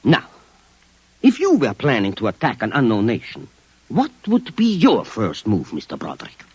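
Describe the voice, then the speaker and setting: A courtly, obsessive gentleman in a 1950s radio play. His husky voice swings dramatically up and down, formal and precise yet insistent. The sound is dry and close. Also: mid-range voice, huge pitch swings, moderate pace, no room sound, heavily acted. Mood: eager, scheming. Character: earnest, obsessive, courtly gentleman hunting for Martians.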